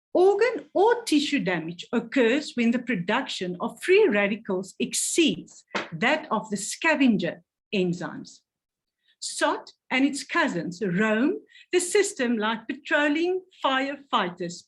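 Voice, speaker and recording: A woman with a fairly high, very lively voice talks slowly through a health product presentation. She reads with care, stopping to work through hard technical words. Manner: careful, stumbles over technical words.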